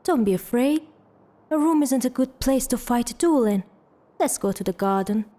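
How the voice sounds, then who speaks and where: A woman's voice: on the high side, lively intonation, moderate pace, dark tone, no echo, natural voice. An actress playing a proud, fiery widowed lady in a theatrical audio drama, haughty and declamatory. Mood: bold.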